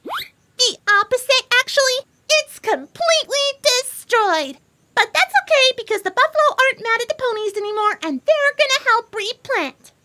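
A woman doing a squeaky, bubbly pink pony. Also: moderate pace, heavily acted. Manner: excitable, giddy, chattering. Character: bubbly, hyper young female pink pony.

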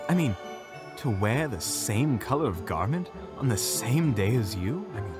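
A man playing a smooth-talking, snide adventurer in an audio drama, with a pompous, affected way of talking. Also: medium pitch, sing-song, normal speed, over music, natural voice. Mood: flattering gossip.

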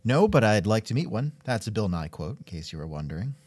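A man with a lively, swooping delivery hosts a casual interview. He sounds wry and musing, and the recording is close and dry. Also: on the low side, quick pace, dry recording. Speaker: podcast host and interviewer. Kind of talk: casual scripted interview chat.

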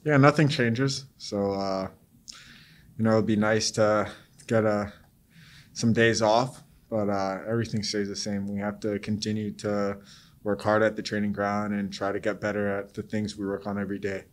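A young man with a lowish voice gives post-game answers at a press conference. He speaks in a measured, steady way, often pausing on fillers. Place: press room before a sponsor backdrop.

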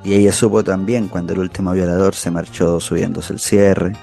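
An actor playing a grave, indignant storyteller in a literary radio drama. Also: male voice, deep voice, little pitch movement, brisk, vocal fry, warm tone. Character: grave, indignant male storyteller witnessing a crime. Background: music.

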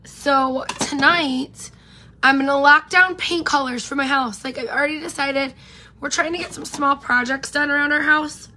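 High-pitched woman doing her makeup at home, voice kinda worn out, chatty, noisy background. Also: steady tone, moderate pace, sharp tone. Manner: chatty, rambling, greets viewers by name. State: losing her voice. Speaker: beauty vlogger. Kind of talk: casual get-ready-with-me live chat. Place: home room with pink neon lights, indoors. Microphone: camera in front at close range.

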